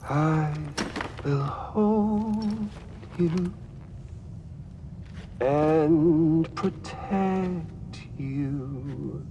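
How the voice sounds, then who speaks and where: A singer delivering a slow, tender song. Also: medium pitch, dull audio, noisy background. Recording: long hall reverb under music.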